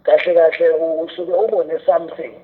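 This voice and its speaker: Through a thin, phone-like band comes a South African man with a slightly high voice. He talks fast as he explains his points as an interview guest, setting up questions and slipping English phrases into his speech. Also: little pitch movement. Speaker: radio drama scriptwriter and teacher, interview guest. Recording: tinny, narrow phone-like sound.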